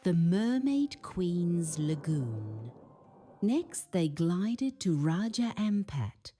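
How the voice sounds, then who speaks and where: Female bedtime storyteller, soothing and expressive, mellow tone, very varied pitch. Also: very slow, faint music behind. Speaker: children's bedtime story narrator. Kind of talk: scripted storytelling narration.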